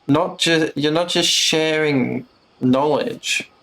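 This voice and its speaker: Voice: mid-range voice, slow, vocal fry, a bit bright, poor audio. A streamer giving casual, blunt live commentary on a game. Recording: game music behind the voice.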